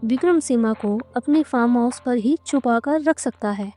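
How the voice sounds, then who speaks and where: Higher-pitched, mellow woman storyteller. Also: normal speed, faint music behind. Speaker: narrator. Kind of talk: scripted storytelling narration for a cartoon.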